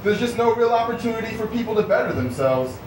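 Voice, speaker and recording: A man with a fairly high voice speaks a scripted theatrical monologue. The live recording is roomy, with the microphone at a distance and noticeable noise. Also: lively intonation, fast talker. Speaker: puppet show performer.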